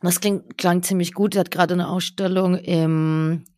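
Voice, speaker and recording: Young adult co-host in casual chat, halting and searching for words, mid-range voice, dry sound. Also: mid-range voice, little pitch movement, a little mellow, dry recording. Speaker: podcast co-host.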